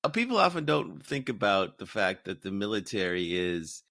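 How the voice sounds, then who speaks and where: A male podcast host interviewing a guest, asking thoughtful questions in a measured way with occasional filler words. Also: fairly low pitch, sing-song, creaky, fairly bright tone, no room sound, clean studio sound.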